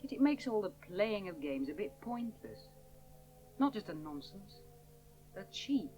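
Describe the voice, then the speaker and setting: A woman's voice: mid-range voice, very animated, measured pace, warm tone, faint music behind, rough recording. An actress playing a wistful, stubborn woman aviator in a radio play, clipped and dry. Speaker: pilot in radio drama. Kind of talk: 1970s radio drama acting.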